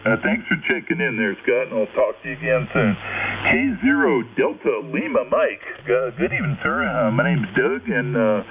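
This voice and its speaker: A man's voice: medium pitch, huge pitch swings, moderate pace. A man from Texas running a ham radio net, folksy and chatty, greeting each station with a laugh. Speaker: net control operator. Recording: tinny radio, faint hiss, distant.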